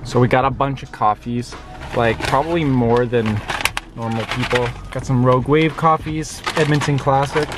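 A young man in his twenties talking casually to a camera held at arm's length in his parked car, upbeat and relaxed, with plenty of "like" fillers. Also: mid-range voice, normal speed, heavy background noise. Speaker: vlogger. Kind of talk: casual vlog talk to camera.

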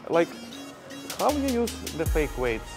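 Man, high pitch, broken English, halting, apologetic, giggly, gym music behind. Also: big pitch swings, creaky. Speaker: prankster host posing as a beginner gym cleaner. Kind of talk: casual prank chat with strangers. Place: busy gym, indoors.

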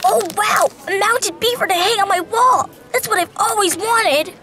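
A woman's voice: very high voice, normal speed. A woman playing an eager, easily thrilled little child in an audio drama. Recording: crowd and noise behind.

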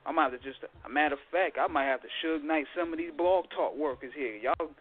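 A man's voice: fairly high pitch, a little fast. A man hosting a casual radio interview, laid-back and joking as he asks questions. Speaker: radio host. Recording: tinny phone-line sound.

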